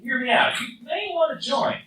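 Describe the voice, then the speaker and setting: A man's voice: extremely high pitch, expressive, normal speed, sharp tone, heavily acted. A man playing an eager young superhero, chatty and a little sheepish, in a live radio play. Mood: eager pleading. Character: eager, fast-talking young male superhero. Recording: very echoey, distant live recording.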